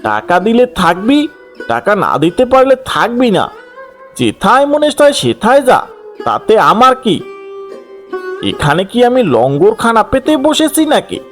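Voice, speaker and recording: A male actor plays a heartless, money-minded village headman in a cartoon fairy tale. He is haughty and dismissive, with big swings of pitch. Music plays behind him. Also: huge pitch swings, a little slow, a bit bright, full character voice. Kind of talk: cartoon folk tale dialogue. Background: background music.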